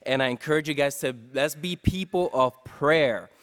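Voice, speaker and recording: A man's voice: a little high, moderate pace, a bit bright, faint music behind. A man from Puerto Rico giving a casual, upbeat sermon to a congregation, talking conversationally with many fillers and restarts. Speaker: guest preacher. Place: church sanctuary. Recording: long church-hall reverb.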